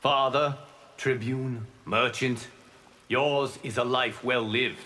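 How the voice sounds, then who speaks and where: An actor playing a solemn, benevolent god in a video game, speaking in a formal, measured, lofty way. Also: male voice, mid-range voice, steady tone, pushed and bright, slight background noise. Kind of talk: video game character dialogue.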